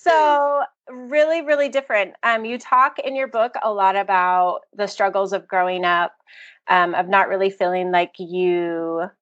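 A woman's voice: mid-range voice, a bit bright, dull audio. A young adult American woman hosting a book podcast, chatty and effusive as she interviews a guest. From United States. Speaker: podcast host. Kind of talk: casual interview chat.

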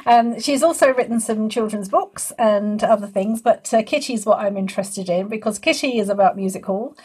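A woman's voice: medium pitch, moderate pace, darkish tone, slightly rough. A woman hosting a podcast, moving between scripted introduction and casual interview chat. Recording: remote conversation over video call.

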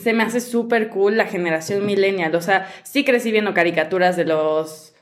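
A woman in her twenties hosting a podcast, talking quickly and casually with lots of fillers. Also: medium pitch. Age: 20s. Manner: quick, chatty, lots of "o sea". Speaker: podcast host.

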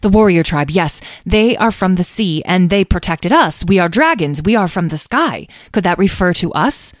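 A woman's voice: lowish voice, expressive, moderate pace, dry recording, clean studio sound, voice pushed up, natural voice. A woman voicing a protective mother dragon leader in a storybook, earnest and commanding. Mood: determined.

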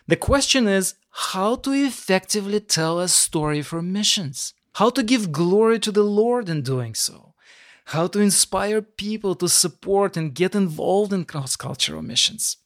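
A man hosting an interview podcast, speaking directly to listeners in a welcoming manner. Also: high voice.

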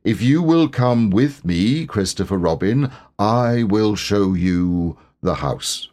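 A man's voice: on the low side, expressive, slow, a little mellow. A man voicing a gloomy, aggrieved old storybook donkey, speaking in a ponderous, sarcastic, mournful manner. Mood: aggrieved, disdainful.

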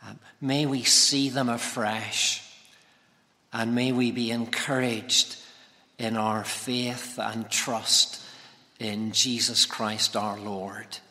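A man preaching a sermon as a guest speaker, with a measured, deliberate delivery and emphatic phrasing. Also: medium pitch, steady tone, pushed and bright. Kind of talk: sermon with prayer. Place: large reverberant church hall. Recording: long hall reverb.

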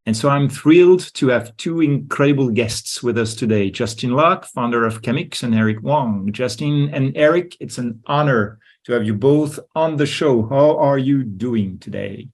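Male podcast host, husky mid-pitched voice, halting with repeats. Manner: stumbling, repeats words. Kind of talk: podcast interview hosting.

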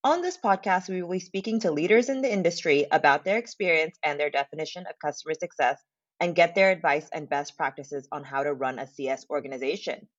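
A woman's voice: low voice, a little fast, no room sound, muffled recording. A woman hosting a podcast, reading an upbeat introduction and then interviewing her guest. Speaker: podcast host.

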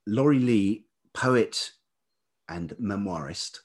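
Deep, velvety, husky man, thoughtful. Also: lively intonation. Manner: thoughtful, halting, often pausing mid-phrase. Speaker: podcast guest, writer and life writing teacher. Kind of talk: reflective interview talk.

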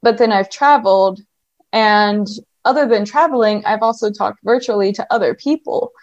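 A woman's voice: medium pitch, normal speed, no echo. A woman guest in an interview, talking through her path in long, articulate lists. The sound is dry and a little dull, like a lo-fi recording with no room around it. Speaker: guest, language business owner. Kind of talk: conversational interview.

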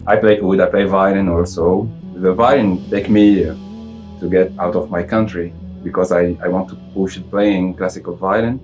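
A man with a Tunisian accent speaking halting non-native English in a casual interview as a musician. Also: low-pitched, fairly even intonation, a little mellow, highs cut off, soft background music. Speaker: musician interviewee, oud player and violinist.

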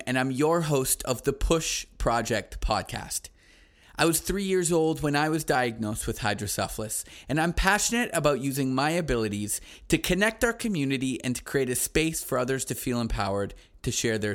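A man's voice: medium pitch, lively intonation, moderate pace, fairly bright tone, soft background music. A man hosting a podcast, chatting in an upbeat, enthusiastic way with his guest. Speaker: podcast host. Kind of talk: casual interview chat.

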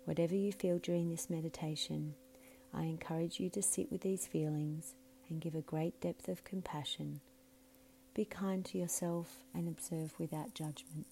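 A woman with a fairly low voice narrates a guided meditation. She is soothing and measured, letting phrases soften into breath. There is clear humming noise behind her. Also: fairly even intonation, poor audio. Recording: clearly audible background hum and noise.

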